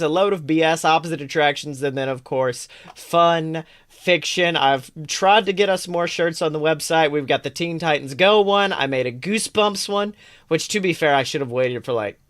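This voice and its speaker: A man hosting a podcast in casual chat, talking in an excitable, slangy, sweary way. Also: fairly high pitch, normal speed. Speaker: podcast host.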